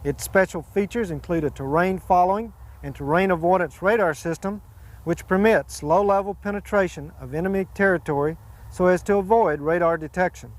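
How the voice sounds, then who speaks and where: A man's voice: high voice, a little fast. An American man giving a formal scripted instructional presentation as an air force presenter, carefully reading out lists and designations.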